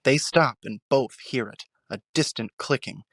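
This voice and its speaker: Casting: male narrator for suspenseful audio drama, mid-pitched, very dry sound, deliberate phrasing. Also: a bit bright, no echo.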